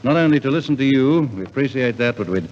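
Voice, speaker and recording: A man's voice: medium pitch, lively intonation, quick pace. A man speaking as a formal, showmanlike compere introducing a 1950s radio variety show. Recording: muffled, hissy 1950s radio recording.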